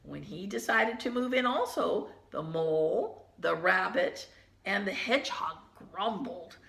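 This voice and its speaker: Slow, bright-voiced woman reading a picture book. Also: medium pitch, lively intonation, gravelly, roomy sound. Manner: gentle, drops to hushed asides about the pictures. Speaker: teacher reading aloud. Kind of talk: children's picture book read-aloud.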